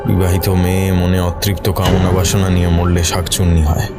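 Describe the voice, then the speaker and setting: A man's voice: sits low, completely flat delivery, normal speed, voice pushed down, close to an everyday voice. A man voicing a protective village husband in a horror audio story, reassuring and grimly explaining. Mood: grave, emphatic. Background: music behind the voice.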